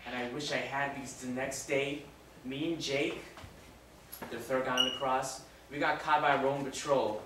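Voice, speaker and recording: A man playing a repentant crucified robber in a live dramatic monologue, impassioned and moving between hushed confession and raised, forceful speech. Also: mid-range voice, steady tone, normal speed, natural voice. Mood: regretful, agitated. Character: repentant condemned robber crucified beside Jesus, rough-living, remorseful. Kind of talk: live dramatic monologue, first-person storytelling. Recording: very echoey room, distant mic, faint noise.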